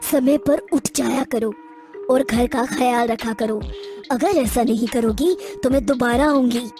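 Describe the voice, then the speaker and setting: Stern righteous female witch ghost for a cartoon moral tale, played by a woman, high voice in long reverb over music. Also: a little slow, darkish tone, lightly acted. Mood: stern scolding. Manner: admonishing, righteous. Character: stern, dutiful female witch ghost, scolding lazy housewives. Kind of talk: Hindi animated moral-story dialogue.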